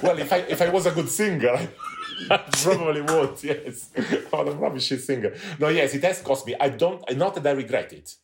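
A man's voice: fairly high pitch, normal speed, creaky, slight background noise. A middle-aged man from Italy speaking in a casual podcast chat, hesitant and candid, often restarting his sentences. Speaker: podcast guest.

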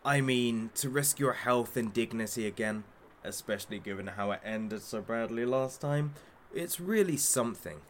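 A man's voice: mid-range voice, lively intonation, moderate pace, slight background noise, close to an everyday voice. Man playing a theatrical, wildly swooping sadistic showman. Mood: needling. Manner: theatrical, mocking showman, taunting. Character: masked male kidnapper hosting a sadistic hostage talk show. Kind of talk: audio drama, parody talk show hosting.

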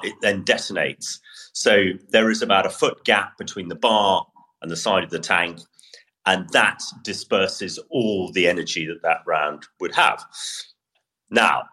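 A British man with a low, bright and forceful voice gives spoken military analysis. He explains things step by step in a measured way and offers his own opinions as a podcast commentator.

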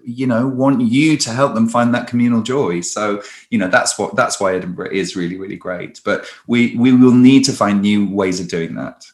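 A man's voice: lowish voice, normal speed, hardly any pauses. Chatty man in an echoey room. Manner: chatty, many restarts, lively storytelling. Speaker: stage performer. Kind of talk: conversational interview.

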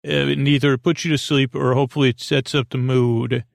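Guy with a really creaky gravelly voice, rambly goofy bedtime podcast talk, keeps cutting himself off, dry close sound. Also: medium pitch, flat delivery, no echo. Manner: meandering, halting, self-interrupting, goofy. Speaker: sleep podcast host.

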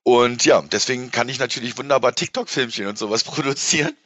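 A man's voice: medium pitch, fast talker, fairly bright tone, no room sound. A middle-aged man chatting casually as an interview guest in a rambling, colloquial, enthusiastic way, on slightly dull audio. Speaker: interview guest, event presenter and moderator.

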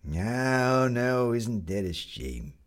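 A man's voice: mid-range voice, big pitch swings, very slow, voice pushed up. A man doing a tutting old sailor. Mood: weary. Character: elderly sailor with a pipe, weary and tutting.

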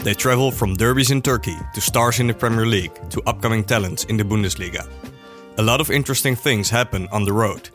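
A man's voice: on the low side, a bit bright. Laid-back male podcast host. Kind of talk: scripted intro, then interviewing.

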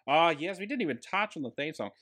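A man's voice: fairly high pitch, expressive, quick pace, a bit bright. Enthusiastic Australian man chatting casually. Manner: enthusiastic, given to emphatic grand claims. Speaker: podcast co-host. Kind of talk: casual film discussion.

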